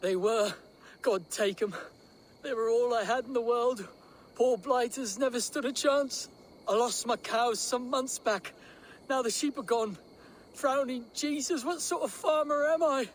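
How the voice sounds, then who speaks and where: A high-pitched, excitable peasant farmer from a video game. He is pious and hapless, with a plaintive, folksy way of talking. Faint ambient noise lies behind him. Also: measured pace. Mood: mournful. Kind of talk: video game cutscene acting.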